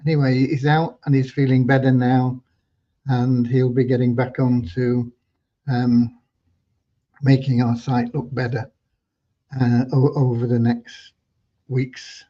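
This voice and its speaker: A slightly hoarse man hosting an informal livestream update for members, talking in a rambling, halting way with hesitant repeats. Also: medium pitch, mellow. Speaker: host giving business updates to members. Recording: dull, lo-fi online audio.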